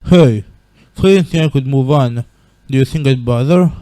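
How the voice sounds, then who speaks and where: A big, kindly goat-monster dad from a comic dub, acted by a man. The voice drops low and moves slowly, with a weary, hopeful, reassuring fatherly tone. The sound is dry and close. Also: dark tone, no echo, lightly acted. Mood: gently hopeful. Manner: slow, reassuring, fatherly advice.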